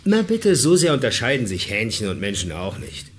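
A man's voice: fairly low pitch, wildly swooping pitch, normal speed, slight background noise. An actor playing a bossy, sardonic man who leads a group of survivors in a German radio drama. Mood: sardonic, insistent. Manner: commanding, sardonic.

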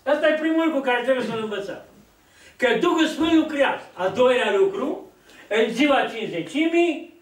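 Older male preacher, high voice, lively storyteller; distant mic in an echoey hall. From Romania. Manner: storytelling, acts out quoted dialogue, gestures widely. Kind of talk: sermon. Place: church hall, at a lectern. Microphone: far camera.

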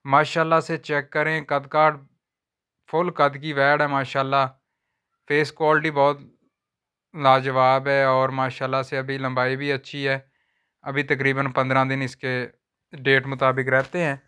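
A man gives a flat, even-toned sales walkthrough of cattle on an outdoor farm. His voice is smooth and clear, and he talks in steady, unhurried patter. He is recorded on a handheld phone. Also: medium pitch. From Pakistan. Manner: repeats a stock religious phrase often. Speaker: livestock seller vlogger.

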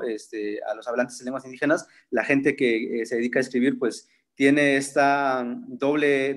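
Man on a video call, native Zapotec speaker. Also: mid-range voice. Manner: thoughtful, many fillers and restarts. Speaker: seminar participant. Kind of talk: reflective conversational talk. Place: room at home with framed pictures. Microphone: laptop webcam on a video call.

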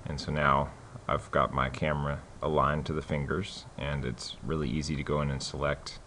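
Very deep-voiced man demonstrating software. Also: moderate pace, a little hiss or hum. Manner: relaxed, many fillers and restarts. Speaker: software tutorial host. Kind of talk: casual screen-recorded tutorial.

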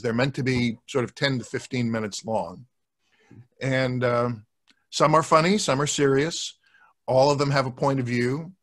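An older man talks as a guest on a casual video call. His voice sits at a middle pitch, and he explains things in a measured, thoughtful way into a desk microphone. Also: a bit bright. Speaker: guest, audio drama producer. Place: indoors in a home office, video call. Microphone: desk microphone with pop filter.

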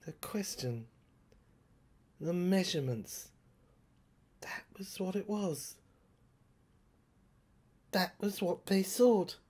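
A woman performing a dramatic first-person monologue in halting short phrases with pauses, breathy and husky, sometimes dropping towards a whisper. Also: medium pitch, a little mellow.

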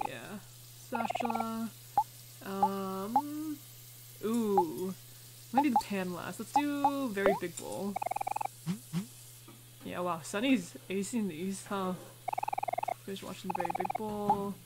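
Chatty woman gamer over loud noise. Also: medium pitch. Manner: chatty, thinking aloud with drawn-out reactions. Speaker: gaming video host. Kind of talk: casual let's play commentary, reading game text aloud.

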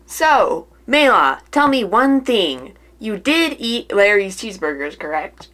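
A woman voicing an earnest, flustered young male lawyer in an amateur puppet show, hesitantly thinking out loud. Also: mid-range voice, sing-song, slow pace, voice pushed down. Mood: probing. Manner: hesitant, puzzling things out aloud.

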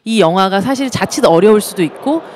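A woman's voice: medium pitch, lively intonation, brisk, darkish tone, a little hiss or hum. A woman in her thirties giving thoughtful film commentary on a live panel show, speaking into a handheld mic. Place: stage with live audience.

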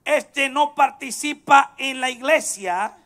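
A man in his thirties preaching from a pulpit on a church stage into a handheld microphone, building from calm teaching to shouts. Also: very high voice, slow. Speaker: pastor. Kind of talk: bilingual church sermon.

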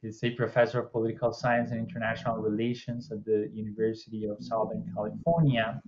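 A young man hosting an interview over a video call, asking friendly, slightly halting questions. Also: on the low side, level tone, highs cut off, noisy background. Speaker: podcast host. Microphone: video call webcam.

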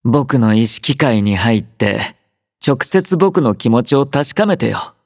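A sleepy teasing young army doctor. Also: male voice, mid-range voice, takes it slowly, dry recording, studio quality. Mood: easygoing teasing. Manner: relaxed, drowsy, gently teasing. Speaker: game character voice lines. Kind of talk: game voice collection. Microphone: close studio mic.

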